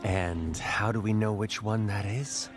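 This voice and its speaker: A man's voice: lowish voice, steady tone, unhurried, noisy background, close to an everyday voice. A man voicing a sceptical, serious ninja chameleon detective with a dry manner in a cartoon audio drama. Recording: music behind the voice.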